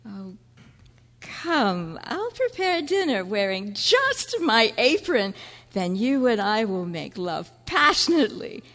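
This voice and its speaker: Woman playing slow, theatrical seductress, echoing hall. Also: a little high, sing-song, a bit bright, exaggerated voice. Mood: flirtatious, playful. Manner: grand, flirtatious, drawn-out delivery. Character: seductive, theatrical, worldly woman writer, passionate and teasing. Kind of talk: live dramatic stage reading. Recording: reverberant meeting hall.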